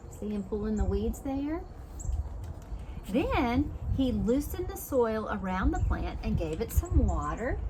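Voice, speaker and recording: Woman reading a storybook aloud, noisy recording. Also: on the high side. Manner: expressive, explanatory, prompts listeners with simple questions. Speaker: preschool teacher reading aloud. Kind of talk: storybook read-aloud for young children, with asides.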